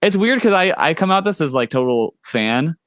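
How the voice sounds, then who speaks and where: A young man hosting a podcast interview, talking in a relaxed, casual way, full of "like" and "you know", quick to agree and encourage. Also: fairly high pitch, no room sound, clean studio sound. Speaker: podcast host.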